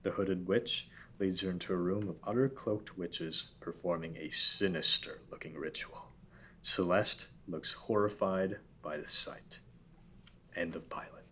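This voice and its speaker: A man's voice: deep voice, a little slow, pushed and bright. A man narrating a script, reading out scene headings and stage directions. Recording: background room noise.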